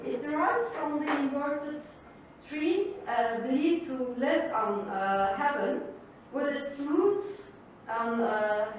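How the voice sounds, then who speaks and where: A Turkish woman delivers a measured, formal welcome as host of a wine evening. Her voice sits high and her pace is slow. She is heard from a distance in a very reverberant hall with faint noise behind her. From Turkey. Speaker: event host and wine professional. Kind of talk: prepared welcome speech. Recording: distant mic in an echoey hall.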